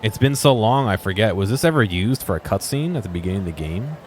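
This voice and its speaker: A man's voice: lowish voice, animated, quick pace, a little hiss or hum. A man giving casual, unscripted video game exploration commentary, musing aloud and asking himself questions.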